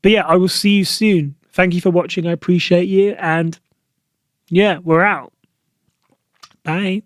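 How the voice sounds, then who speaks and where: High-voiced chatty British young man. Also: fairly even intonation, unhurried, no room sound, clean studio sound. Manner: chatty, frequent "like" fillers, opinionated. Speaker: podcast host. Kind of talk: solo podcast chat to camera.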